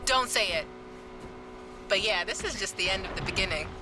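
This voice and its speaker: An actress playing a cocky young street racer and gearhead in a game cutscene, bantering in a wry, teasing way. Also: female voice, medium pitch, animated, moderate pace, close to an everyday voice. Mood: nostalgic, playful. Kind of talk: naturalistic game cutscene dialogue. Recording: music behind the voice.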